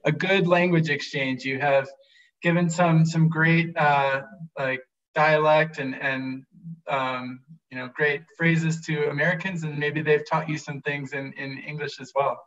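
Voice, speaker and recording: A man in his forties hosting a video call interview, friendly and measured. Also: on the high side, fairly even intonation, a bit bright. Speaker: host. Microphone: laptop webcam video call. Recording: distant, muffled webcam audio.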